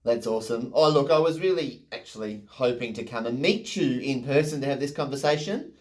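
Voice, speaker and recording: A man's voice: medium pitch, animated, fairly quick, darkish tone. An enthusiastic man interviewing a guest over a video call, full of effusive praise.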